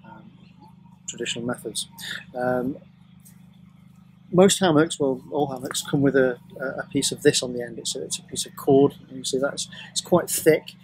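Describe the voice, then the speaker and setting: A man's voice: mid-range voice, slight background noise, poor audio. Middle-aged man demonstrating outdoors. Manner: casual, hesitant with many ums and restarts. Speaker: bushcraft show co-host. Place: outdoors in woodland by a tarp shelter.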